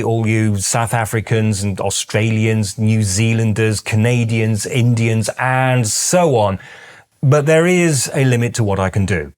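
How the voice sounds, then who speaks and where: A middle-aged British man with a British accent, hosting a scripted explainer with precise, dryly wry delivery. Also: medium pitch. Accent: British English. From Britain. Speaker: YouTube host.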